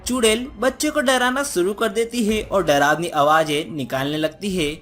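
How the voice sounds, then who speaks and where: A woman's voice: medium pitch, normal speed, a bit bright. A woman narrating a scripted moral story for children as a cartoon storyteller. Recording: music behind the voice.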